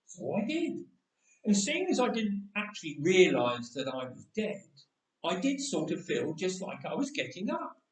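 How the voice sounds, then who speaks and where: A man's voice: high-pitched, wildly swooping pitch, measured pace, heavily acted. A man playing a chatty, wry young man of Bible times who tells of being raised from death, in a dramatic first-person monologue. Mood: wry, wondering. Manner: conversational, wry understatement. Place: church, voice echoing. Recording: roomy church echo, distant microphone.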